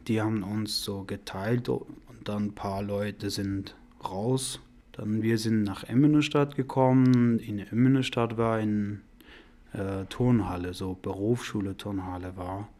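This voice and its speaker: A man in his twenties from Afghanistan with an Afghan accent, a non-native speaker telling his own story in halting, simple phrases as an interviewee. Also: on the low side, mellow. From Afghanistan and Iran. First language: not German. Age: 20s. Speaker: podcast interviewee. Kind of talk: conversational personal account.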